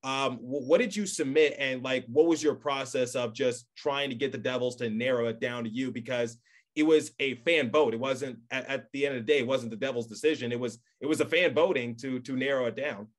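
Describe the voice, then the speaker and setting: A man's voice: mid-range voice, brisk. A young man hosting a casual podcast interview, chatty, with long wordy questions and asides. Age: young adult. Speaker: podcast host.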